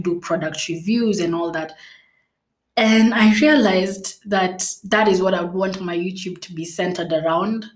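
Young woman podcasting solo, swahili is her first language, kinda low voice, rambly and giggly. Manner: chatty, playful, laughs often, many fillers and restarts. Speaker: podcast host. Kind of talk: casual solo podcast monologue.

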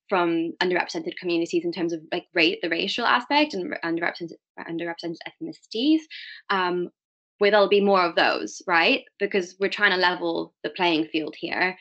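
A woman's voice: mid-range voice, fairly bright tone, muffled recording. A young woman from Malta, a diversity and inclusion manager, talking casually as an interview guest with many fillers and restarts.

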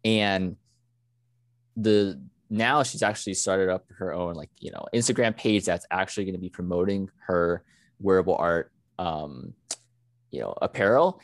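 A man's voice: sits low, moderate pace, no echo. A man from the United States co-hosting a casual podcast, talking in an earnest, reflective way with many "you know" fillers. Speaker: podcast co-host.

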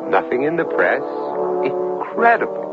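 Theatrical male radio host, animated. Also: a little high, measured pace. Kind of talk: dramatic radio narration. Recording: dull old radio broadcast with loud music.

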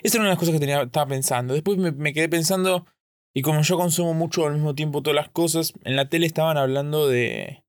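A man's voice: fairly high pitch, fast talker, dry recording, clean studio sound. A man from Argentina with an Argentine accent, recording a casual solo podcast, thinking aloud in a rambling way and often correcting himself mid-sentence. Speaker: podcast host.

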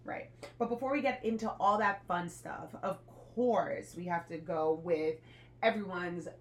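Echoey, lower-voiced young American woman chatting. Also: measured pace. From United States. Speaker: podcast co-host. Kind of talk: casual chat.

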